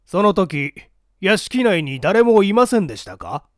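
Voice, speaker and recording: A formal, probing police investigator voiced by a male narrator in a mystery audiobook. He questions inquisitively in a slightly high, animated voice with a dry, close sound. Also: a little slow, dry recording.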